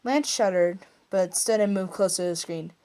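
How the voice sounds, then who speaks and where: An amateur female storyteller reads aloud in a mid-pitched voice. She puts clear emphasis into phrases and sometimes trips over a word. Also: normal speed, dark tone. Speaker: amateur fan fiction reader. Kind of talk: expressive storytelling read-aloud.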